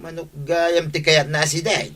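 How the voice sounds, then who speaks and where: A man's voice: on the high side, flat delivery, normal speed, pushed and bright. A man playing a helpful, boisterous village neighbor in a comedy radio drama. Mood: brisk. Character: helpful, boisterous male neighbor and buddy of the captain.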